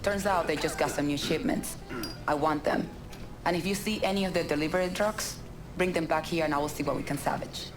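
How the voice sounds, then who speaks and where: A woman's voice: low-pitched, steady tone, fairly quick, over loud music, lightly acted. An actress playing a hard-bitten woman smuggler with a blunt, foul-mouthed manner in a game cutscene. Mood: brisk, demanding. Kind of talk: naturalistic video game cutscene acting.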